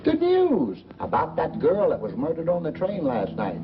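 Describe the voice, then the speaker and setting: An actor with an American accent playing a genial, rambling, beer-loving small-town uncle in a 1940s film, folksy and chatty. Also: male voice, on the high side, huge pitch swings, moderate pace. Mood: gossipy, puzzled. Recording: dull old film soundtrack, music behind.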